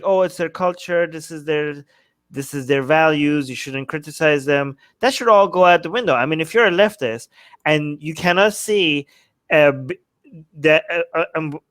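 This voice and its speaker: A man's voice: on the high side, fairly bright tone, no room sound. A man giving casual political commentary as a talk show host, speaking emphatically and often tagging points with rhetorical questions.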